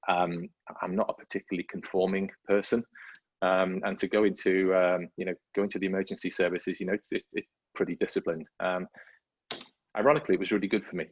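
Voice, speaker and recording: Low-voiced man from North Wales, rambling. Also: no echo, highs cut off. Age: 50s. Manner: rambling, self-deprecating, many fillers and restarts. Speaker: guest. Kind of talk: casual conversational interview.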